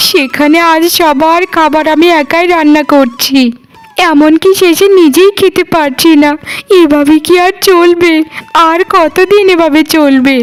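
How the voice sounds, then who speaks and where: A woman's voice: high voice, poor audio. A woman voicing an exhausted, self-pitying, overworked young wife in a cartoon, plaintive and pleading. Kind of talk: cartoon voice acting.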